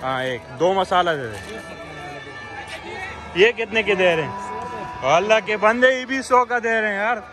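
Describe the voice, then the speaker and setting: A young man with a voice sitting a little high for his age chats his way around an outdoor stadium, bargaining and laughing with the vendors. He is picked up on a phone held in his hand. A loud music track runs under his talk. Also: measured pace, long pauses. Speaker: vlogger. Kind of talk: casual vlog chat. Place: outdoor cricket stadium stands, crowded.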